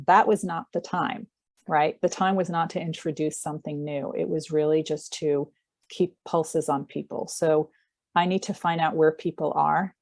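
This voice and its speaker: A woman's voice: low voice, vocal fry, a little mellow, no room sound. A woman with an American accent speaking conversationally as a webinar panelist, in short emphatic phrases. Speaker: medical school learning officer, panelist.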